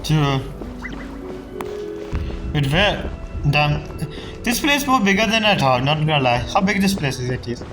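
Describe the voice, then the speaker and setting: A man's voice: on the high side, expressive, measured pace, pauses often. A man reacting casually to a game story, swearing and talking with loose grammar. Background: faint game music.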